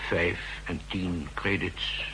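An actor playing a fussy, insistent central-bank expert who explains pedantically, in 1960s radio drama style. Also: male voice, low voice, steady tone, takes it slowly, pushed and bright, full character voice. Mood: earnest, explaining. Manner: pedantic, emphatic, hesitating and repeating himself. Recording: noisy vintage 1960s radio recording.

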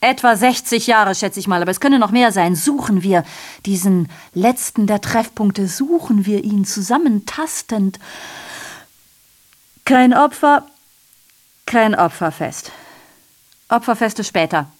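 Sardonic female messenger, acted by a woman, radio drama monologue, middle pitch, aggrieved, rhetorical, digressive. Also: lively intonation, a little slow, long pauses, lightly acted. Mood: bitter, insistent.

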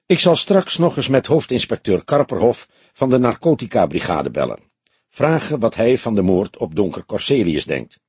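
A young police detective, played by a male reader, sounds earnest and easily irritated. His voice is very husky, with lively pitch movement and clipped, eager phrasing for an audiobook. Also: normal speed, no echo. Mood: grumbling. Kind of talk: audiobook character dialogue.

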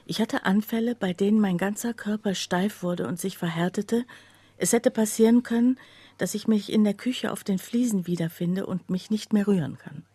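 An actress playing a troubled woman who recounts her own breakdown in a radio play, with a husky, breathy voice. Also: mid-range voice, moderate pace, dark tone, dry recording, close to an everyday voice. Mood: confessional. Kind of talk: scripted first-person radio play narration.

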